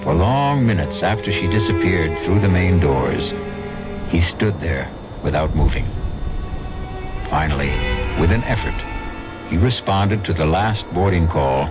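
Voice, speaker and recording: Grave, deep-voiced radio narrator. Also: male voice, animated, unhurried. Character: grave, measured male storyteller of a mystery. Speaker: narrator and host. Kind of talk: scripted radio drama narration. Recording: dull old radio recording, loud music behind.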